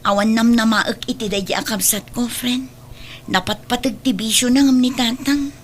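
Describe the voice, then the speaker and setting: A woman's voice: a little high, fairly even intonation, normal speed, a little hiss or hum, close to an everyday voice. A woman playing a worried, dutiful young working daughter in an Ilocano audio drama, earnest and anxious, with an Ilocano accent. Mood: resigned, earnest.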